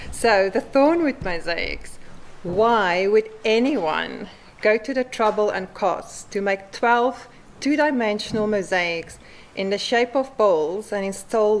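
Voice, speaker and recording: A woman with a South African accent presents her mosaic work at a forum. She speaks slowly and deliberately, with a mid-range voice, pausing for an "um" now and then. She sounds middle-aged. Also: mid-range voice. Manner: slow and measured, with frequent "um" pauses. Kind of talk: conference presentation.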